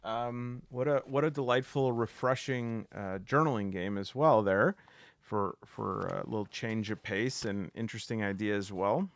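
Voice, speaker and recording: A man hosting a solo tabletop game show, talking casually to camera in a halting way with lots of fillers and restarts. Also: lowish voice, no echo.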